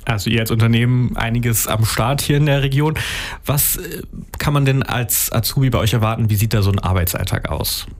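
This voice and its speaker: A man hosting a radio interview, asking friendly, brisk questions. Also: mid-range voice. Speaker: radio host.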